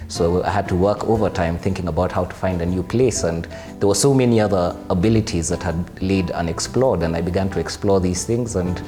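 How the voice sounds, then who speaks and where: A man in his thirties, an animator and storyteller, telling his story articulately and in a measured way. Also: deep voice, dark tone. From Kenya. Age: 30s. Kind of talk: interview testimonial. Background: background music.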